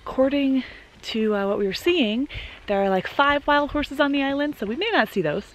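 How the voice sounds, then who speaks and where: A woman's voice: high voice, normal speed, slight background noise, poor audio. A woman in her thirties, chatty and upbeat, filming herself at arm's length on an outdoor trail. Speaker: vlogger. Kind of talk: casual travel vlog narration. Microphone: camera held at arm's length. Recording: music playing underneath.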